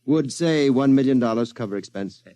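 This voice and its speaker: An actor playing a polite, secretive Martian client in a 1950s radio drama, speaking with formal, precise, measured diction. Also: male voice, mid-range voice, mellow, dry recording, lightly acted. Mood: businesslike, assured. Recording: vintage 1950s radio recording, dry studio.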